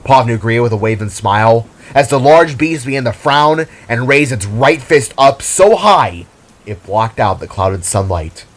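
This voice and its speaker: A man's voice: mid-range voice, animated, unhurried. A man narrating a scripted fantasy adventure story in a dramatic style. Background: music.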